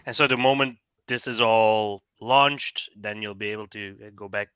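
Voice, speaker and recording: A male host asks questions and praises his guest in an upbeat way, his voice going hoarse. The tone is low but very bright and crisp, and the recording is dry. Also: steady tone, moderate pace, no room sound. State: losing his voice. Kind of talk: casual interview.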